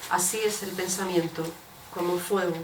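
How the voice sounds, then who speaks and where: A woman with a fairly low voice recites poetry to a room. The sound is echoey and noisy, picked up at some distance from the microphone. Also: fairly even intonation, moderate pace. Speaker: reader of poems in translation. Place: bookshop event.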